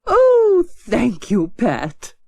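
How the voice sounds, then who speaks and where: A woman acting excitable villagers, young and old, in an exaggerated way for a children's audiobook. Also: on the high side, very slow, vocal fry, dry recording, heavily acted. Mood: relieved. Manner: exclamatory, exaggerated. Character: villagers in a children's story: excited children, fussy older ladies, brisk mother.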